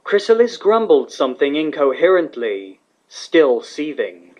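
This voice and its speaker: A man's voice: a little high, big pitch swings, unhurried. A man reading scripted fantasy fiction as the narrator. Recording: slightly dull, lo-fi recording.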